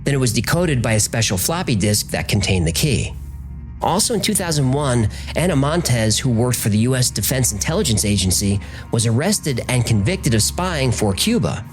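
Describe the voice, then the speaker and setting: A man's voice: mid-range voice, big pitch swings, normal speed, soft background music. A man narrating a scripted documentary in a conversational, explanatory way, posing rhetorical questions as host.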